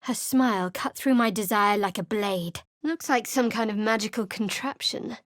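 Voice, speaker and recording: A woman's voice: mid-range voice, moderate pace, a bit bright, dry recording, lightly acted. An actress voicing a guarded, sardonic young female cleric in a video game, recorded close in a studio booth. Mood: dry. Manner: dry, cool and sardonic. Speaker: game character voice actor. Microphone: close studio microphone.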